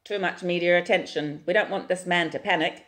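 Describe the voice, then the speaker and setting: A woman voicing a calm, official male police officer who speaks briskly and reassuringly. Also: lowish voice, pitched above the performer's own voice, natural voice.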